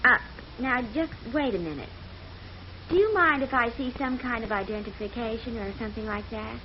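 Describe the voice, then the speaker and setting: A woman's voice: fairly high pitch, animated, normal speed, natural voice. An actress playing a polite, wary young showgirl in an old radio drama, genteel and hesitant. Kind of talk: 1950s radio drama acting. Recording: muffled old radio recording, faint noise.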